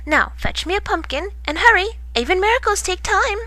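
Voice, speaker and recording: A woman's voice: extremely high pitch, expressive, moderate pace, no room sound, voice pushed up, heavily acted. A woman voicing a kind but bossy old fairy godmother for a storybook reading. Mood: kindly, brisk. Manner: gentle but bossy, instructing.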